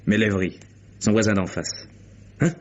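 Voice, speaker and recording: Male actor for a hired detective in vintage radio noir, low voice, pressing questions with cool irony, muffled archive sound. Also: steady tone, moderate pace, natural voice. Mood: sardonic. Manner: insistent, interrogating, coolly sardonic. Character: dogged hired private detective, probing and insistent. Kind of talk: vintage French radio crime drama acting. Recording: dull lo-fi vintage radio recording.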